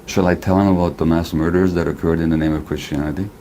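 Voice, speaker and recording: An older man with a very deep, dark and mellow voice, talking in a measured, deliberate way. Faint noise sits under the played-back interview clip. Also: fairly even intonation. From Hungary. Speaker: therapist, interviewee.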